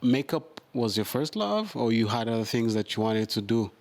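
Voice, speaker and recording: A man hosting a podcast interview, asking gentle questions and affirming his guest with frequent murmurs. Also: medium pitch, very animated, moderate pace. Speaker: podcast host. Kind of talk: interview hosting and scripted intro.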